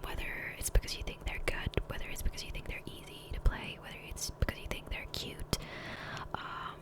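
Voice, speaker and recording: A woman speaking in a soft, breathy whisper close to the microphone, rambling casually and self-deprecatingly as an ASMR gaming creator. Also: brisk. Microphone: close USB condenser microphone.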